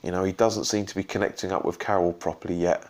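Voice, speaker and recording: A man with a slightly croaky voice giving a rambling, casual post-match reaction as a football fan vlogger. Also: low-pitched, fairly even intonation, fast, a little mellow. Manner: rambling, full of ums and restarts. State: slightly croaky from shouting and drinks.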